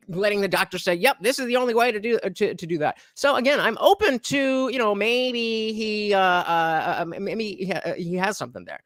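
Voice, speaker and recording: Hesitant, sardonic streamer with a lively voice. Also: male voice, high voice, dry recording, studio quality. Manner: hesitant, many uh fillers, sardonic asides. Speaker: streamer reacting to a podcast clip. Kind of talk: casual live-stream commentary.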